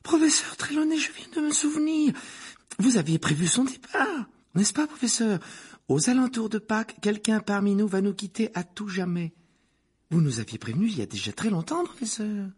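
A man voicing an excitable, credulous schoolgirl in an audiobook, with a gushing, excited delivery. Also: high-pitched, moderate pace, breathy edge, voice pushed up, exaggerated voice. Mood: excited awe.

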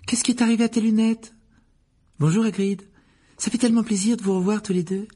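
Eager storybook schoolgirl performed by a male reader: pitched way up, husky edge, breathlessly friendly. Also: steady tone, brisk, dark tone.

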